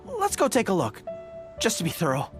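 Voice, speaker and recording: Young male general, earnest, dutiful, easily flustered, lively swooping intonation, anime game dialogue, music underneath. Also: sits high, fairly quick. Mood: thoughtful, earnest. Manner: earnest, thinks aloud, formal military phrasing. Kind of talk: English anime game voice acting.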